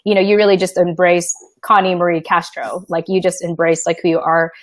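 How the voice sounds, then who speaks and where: A woman's voice: on the low side, steady tone, a little fast, dry recording. A woman from the Philippines interviewing a guest in a chatty way, using a lot of fillers. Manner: chatty, heavy filler use. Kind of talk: casual interview.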